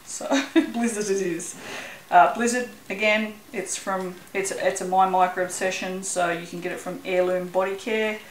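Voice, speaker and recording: A woman's voice: medium pitch, fairly even intonation, normal speed, reverberant, a little hiss or hum, low-quality recording. An Australian woman chatting casually as she works on a craft video, rambling a little and laughing often. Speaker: soap-making channel host. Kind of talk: casual chat while working.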